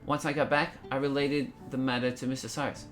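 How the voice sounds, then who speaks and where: A man's voice: mid-range voice, flat delivery, a bit bright, faint music behind, lightly acted. Man voicing an earnest game adventurer. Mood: earnest recounting. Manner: formal, deliberate storytelling. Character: earnest male adventurer giving a report. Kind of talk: video game character acting.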